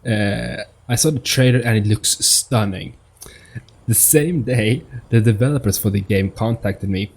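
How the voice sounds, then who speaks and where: Low-voiced man, casual gaming commentary. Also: unhurried, slightly rough. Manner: hesitant, repeats words, thinks aloud. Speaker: gaming YouTuber. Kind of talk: casual let's-play commentary.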